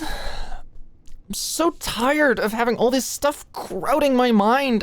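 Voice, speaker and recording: A woman's voice: a little high, no echo. A woman playing an anxious, stressed-out young medical student in an intimate roleplay monologue, rambling and stammering as she goes. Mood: weary, frustrated. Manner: rambling, stammering, hesitant, swearing.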